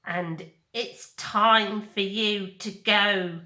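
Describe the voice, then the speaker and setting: A woman's voice: medium pitch, steady tone, very slow, sharp tone. A woman voicing a surly, hostile young male bear who growls threats in a storybook reading. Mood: growling threat.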